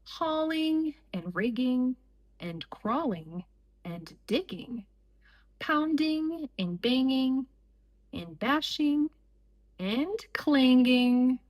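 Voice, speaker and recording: Slow-paced woman reading a picture book. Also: high-pitched, lively intonation, darkish tone. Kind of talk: slow read-aloud storytime for young children.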